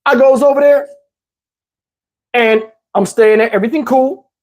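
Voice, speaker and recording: An American man with a husky voice telling a personal story casually on a livestream, slangy and acting out the dialogue as he goes. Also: very high voice, steady tone, normal speed, darkish tone.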